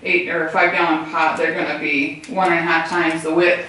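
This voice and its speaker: A woman's voice: low voice, flat delivery, moderate pace, pushed and bright, far from the mic. American lady at a town meeting, super echoey room, some faint noise, explaining stuff informally. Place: indoor meeting room, far mic.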